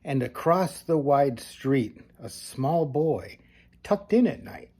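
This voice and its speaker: An older man reading a children's storybook aloud like a grandfather, with expressive, deliberate phrasing and casual asides. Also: on the high side.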